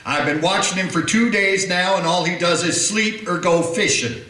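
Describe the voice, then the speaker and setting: An actor playing a grumbling, impatient small-town deputy in a radio play, talking in a folksy way. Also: male voice, sits high, normal speed, sharp tone. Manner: complaining, folksy drawl of speech. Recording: roomy echo.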